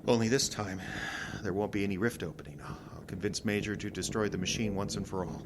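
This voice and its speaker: An actor playing an urgent, guilt-ridden male time traveler in an audio drama, speaking in a halting, emphatic, earnest way. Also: on the low side, steady tone, noisy background, lightly acted. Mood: resolved, subdued.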